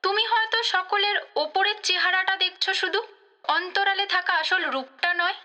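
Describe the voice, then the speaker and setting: An actress playing an insightful, intimate confidante who gently lectures, in an audio drama. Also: female voice, extremely high pitch, moderate pace, heavily acted. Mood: earnest, knowing. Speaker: actor. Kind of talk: Bengali audio drama acting.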